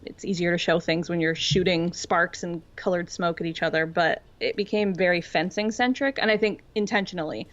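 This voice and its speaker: A woman's voice: fairly low pitch, steady tone, brisk, few pauses, highs cut off. A woman guesting on a podcast, chatting casually and jokily like an enthusiastic fan. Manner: informal, jokey, enthusiastic fan talk. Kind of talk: casual pop-culture chat.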